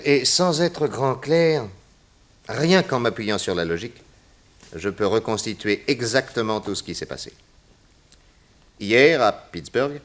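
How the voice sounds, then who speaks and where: An actor playing a self-assured, logical private detective, delivering measured deductions in a theatrical 1960s radio crime drama. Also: male voice, fairly low pitch, natural voice.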